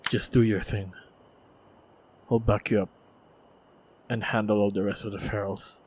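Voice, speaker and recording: A man playing a stoic, wry and protective monster hunter in a fantasy action audio roleplay, speaking in terse, measured, understated lines. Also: on the low side, level tone, darkish tone, slight background noise, lightly acted. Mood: steady, resolute.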